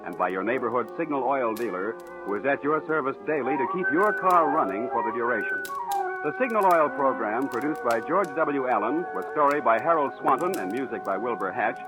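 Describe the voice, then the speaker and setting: A man's voice: medium pitch, huge pitch swings, a little fast. A man announcing in scripted 1940s radio style, with an emphatic salesman's delivery, on a vintage recording. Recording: dull vintage radio recording, some music.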